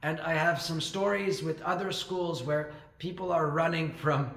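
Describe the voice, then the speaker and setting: A man's voice: a little high, steady tone, unhurried, a bit bright. Echoey man giving rambling advice. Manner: rambling, with frequent fillers and restarts. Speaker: ESL teacher and channel host. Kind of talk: casual advice talk to camera.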